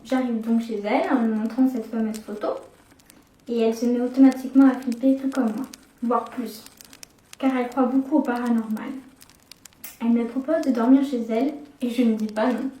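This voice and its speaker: A young woman narrating a first-person horror story, slowing down at the scary moments. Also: on the high side, fairly even intonation, warm tone. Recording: echoey room, distant from the mic.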